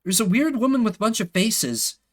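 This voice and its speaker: A man voicing a cheeky, tough, energetic young girl in a video game, speaking in blunt, childlike phrasing. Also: high voice, fairly quick, pitched above the performer's own voice. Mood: earnest, indignant.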